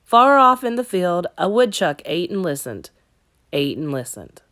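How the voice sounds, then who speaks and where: A woman teacher narrating a children's story in an expressive read-aloud style. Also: fairly low pitch, a little slow.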